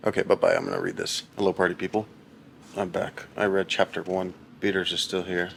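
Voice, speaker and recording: A young man with a very deep, low voice and a gravelly, creaky edge talks to the camera at home in a casual, deadpan way. He rambles and mocks himself, and a faint hiss sits under the recording. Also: a little fast. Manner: deadpan, rambling and self-mocking. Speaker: husband reading a book on camera. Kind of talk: casual vlog chat.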